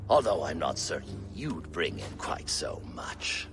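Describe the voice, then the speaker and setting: A man's voice: rumbling low, big pitch swings, a little slow, over music, exaggerated voice. A man playing an insolent, mocking Viking ruffian in a dramatic game cutscene. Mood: mocking, defiant. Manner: mocking, brazen.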